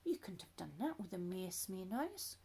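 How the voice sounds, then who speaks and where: A woman voicing a wise old python snake in a storybook read-aloud, speaking in a lower, measured, knowing voice. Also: big pitch swings, vocal fry, dark tone, slight background noise.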